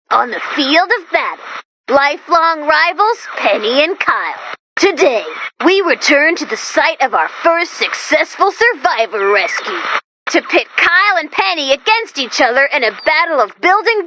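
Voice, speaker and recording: A perky female robot helper from a video game, with a high, very animated voice. She is chatty and theatrical, flipping from big announcer hype to dry deadpan. Some noise sits behind the lines.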